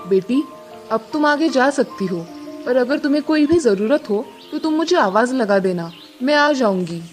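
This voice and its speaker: A woman voicing a gentle, grateful cow in a fairy tale, kindly, motherly and pleading. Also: high voice, lively intonation, normal speed, soft background music.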